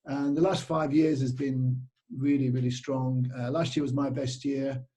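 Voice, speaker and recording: A man's voice: mid-range voice, little pitch movement, moderate pace, dark tone. A middle-aged man with a British accent, a cycling tour operator chatting casually in an interview, rambling with lots of fillers and restarts.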